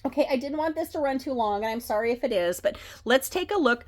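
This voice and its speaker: A woman reading oracle card messages, gentle and earnest, her voice a bit scratchy from a cold. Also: sits high, lively intonation, fast. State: slightly scratchy, recovering from a cold.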